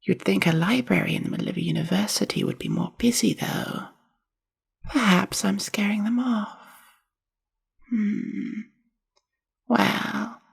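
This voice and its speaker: A woman voicing a reclusive, awkward spider-woman librarian in a whispery, husky ASMR roleplay, with a hesitant, dryly deadpan delivery. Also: mid-range voice, heavily acted. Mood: grumbling, then flustered.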